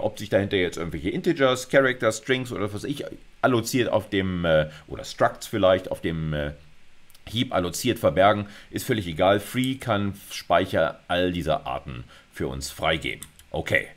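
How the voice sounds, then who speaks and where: A middle-aged man with a low voice lectures on a technical subject. He explains step by step in a conversational manner, dropping in short check-in words as he goes. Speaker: university computer science lecturer.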